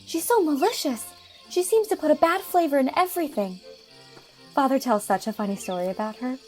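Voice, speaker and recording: A young country woman in a storybook drama, pleasant, gossipy and teasing. Her voice sits fairly high, and light music plays underneath. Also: lively intonation, fairly quick, darkish tone. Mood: exasperated, gossipy. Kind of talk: dramatized audiobook character acting.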